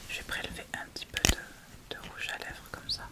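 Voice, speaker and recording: Whispering woman doing ASMR. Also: a little fast. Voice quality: soft breathy whisper. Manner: informal, chatty, thinking aloud. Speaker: ASMR video host. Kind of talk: whispered ASMR makeup tutorial, casual.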